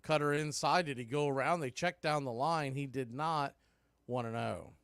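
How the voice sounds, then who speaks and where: A man calling baseball play-by-play in a relaxed, chatty way with wry asides. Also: medium pitch, moderate pace, clear, resonant tone, no echo, studio quality. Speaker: play-by-play announcer.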